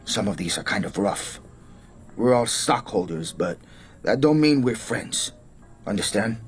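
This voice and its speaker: An actor playing a short-tempered, threatening American gangster boss in a radio drama, with a tough, slangy delivery. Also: male voice, on the low side, lively intonation, measured pace, soft background music. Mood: prickly, threatening. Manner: blunt, bossy, threatening. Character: menacing American gangster assassin, short-tempered hotel owner.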